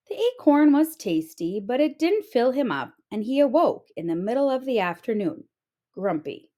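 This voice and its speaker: A woman reading a children's storybook aloud with expressive narration. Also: fairly high pitch.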